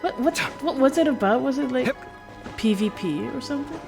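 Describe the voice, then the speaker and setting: A woman's voice: high voice, lively intonation, a little fast, gravelly. A woman game streamer chatting casually to her viewers during a livestream. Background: music.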